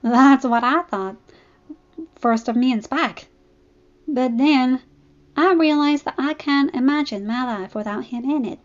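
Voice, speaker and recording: Lady doing a homey easygoing farm pony gal, kinda high, muffled recording. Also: unhurried, pauses often. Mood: gentle. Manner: easygoing, homespun.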